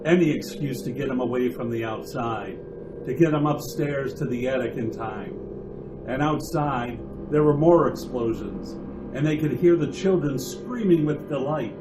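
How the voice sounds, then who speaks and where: A man's voice: mid-range voice, moderate pace. A man narrating a suspense radio drama in a dramatic, scripted storytelling style. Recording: noisy amateur radio drama recording.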